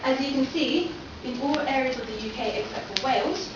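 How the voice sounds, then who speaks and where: A young woman with a fairly high voice gives an academic talk, her questions to the audience carrying through a very echoey lecture hall. She is picked up from far off, and the sound is dull with steady noise behind it. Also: a little fast. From London. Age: young adult. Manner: poses many questions to the audience. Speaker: seminar presenter. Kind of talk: academic presentation.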